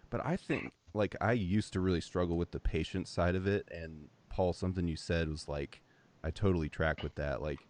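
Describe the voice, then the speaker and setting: A man who teaches music, talking in a relaxed, reflective way in a casual chat. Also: low-pitched.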